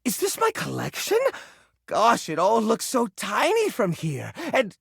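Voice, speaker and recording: An actor voicing a fussy, anxious junk drawer come to life in a video game, theatrical and flustered, with old-fashioned folksy exclamations. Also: male voice, high voice, huge pitch swings, unhurried, creaky, breathy edge, no echo, clean studio sound, exaggerated voice. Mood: overwhelmed, nervous. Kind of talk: video game character voice acting.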